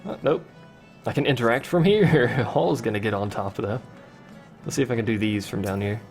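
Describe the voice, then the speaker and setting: A man's voice: medium pitch, moderate pace, darkish tone, faint music behind. A young man casually commenting while playing a game on a livestream, answering chat with wry asides. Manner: chatty, reads chat questions aloud, wry asides. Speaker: let's play streamer.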